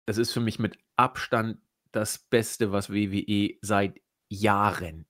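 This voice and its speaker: A man hosting a podcast in casual chat, a bit hoarse from a cold, who often restarts his sentences. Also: lowish voice, fairly even intonation, takes it slowly, fairly bright tone. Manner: chatty, restarts sentences, laughs at own slips. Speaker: podcast host.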